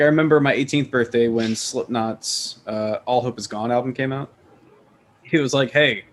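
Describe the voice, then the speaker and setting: A man co-hosting a casual chat, laid-back, saying "dude" and laughing as he tells family stories. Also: medium pitch. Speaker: podcast co-host.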